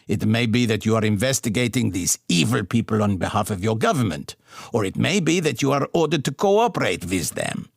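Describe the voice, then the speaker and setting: Man voicing a menacing Russian colonel, husky. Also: lowish voice, expressive, no room sound, clean studio sound, heavily acted. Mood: cold menace. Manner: measured, threatening, formal. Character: menacing, stern Russian colonel, male, middle-aged.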